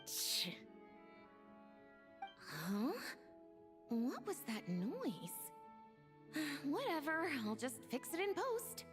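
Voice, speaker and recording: Aloof, grumbling young woman for a video game, high register, highly animated, dryly questioning. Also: drawn-out words, long pauses, over music, heavily acted. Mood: puzzled, annoyed. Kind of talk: scripted video game dialogue.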